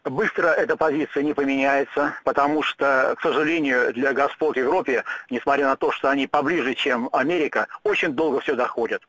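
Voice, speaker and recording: A man answering questions in a radio interview, speaking in firm, emphatic statements broken by frequent "uh" pauses. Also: medium pitch, a bit bright, no echo, low-quality recording.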